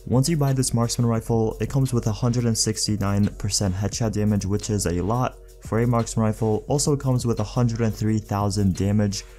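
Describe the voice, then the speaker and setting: A man with a stuffed, nasal voice giving relaxed, casual commentary over game footage. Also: fairly low pitch, a little fast, hardly any pauses, soft background music. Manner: relaxed, talks through recommendations with many fillers. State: stuffed nose. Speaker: gaming YouTuber.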